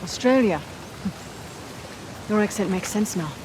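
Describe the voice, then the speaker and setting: An actress playing a blunt, guarded woman mercenary in a video game, terse and deadpan. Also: mid-range voice, a little slow, noisy background, natural voice. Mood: curious, dry. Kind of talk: naturalistic video game acting.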